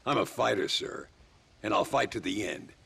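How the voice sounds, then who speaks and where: An actor playing a proud, righteous old gunslinger in a western video game, with a gravelly, theatrical way of speaking. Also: male voice, fairly low pitch, lively intonation, moderate pace, fairly bright tone, a little hiss or hum, exaggerated voice. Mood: defiant, proud. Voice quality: gravelly, husky.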